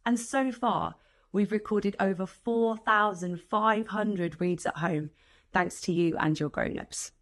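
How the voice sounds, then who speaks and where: A woman making an encouraging announcement to young children as a school staff member introducing a storytime. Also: lowish voice.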